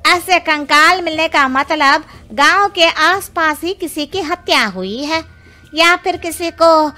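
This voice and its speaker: Woman as a high-pitched, frightened villager. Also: slow, sharp tone, full character voice. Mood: fearful. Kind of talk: Hindi animated moral story dialogue.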